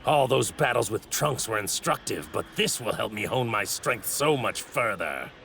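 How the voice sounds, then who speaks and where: A man's voice: lowish voice, lively intonation, normal speed, creaky, noisy background. A man playing an arrogant godlike villain in an English anime dub, with pompous, theatrical, formal delivery. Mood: musing, hungry. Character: arrogant godlike male villain in a stolen warrior body.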